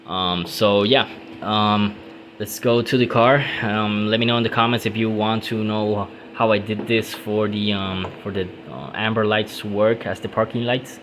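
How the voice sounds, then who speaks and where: A man's voice: on the low side, fairly even intonation, background noise. Casual Puerto Rican-accented man explaining wiring. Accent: Puerto Rican Spanish. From Puerto Rico. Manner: casual, halting, many ums and restarts. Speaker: car modding hobbyist. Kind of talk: hands-on how-to tutorial.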